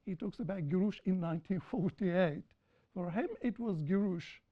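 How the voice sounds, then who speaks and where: A woman speaking on a panel after a film screening, lecturing in a deliberate way and repeating points for emphasis. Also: lowish voice. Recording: dull lo-fi recording.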